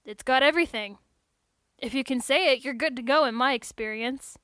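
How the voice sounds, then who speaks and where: A woman plays a friendly young male technician in an audiobook. He talks eagerly and rambles a little, with an awkward edge. The voice sits high and bright, recorded dry and close. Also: moderate pace, dry recording. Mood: chatty. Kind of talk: audiobook character dialogue.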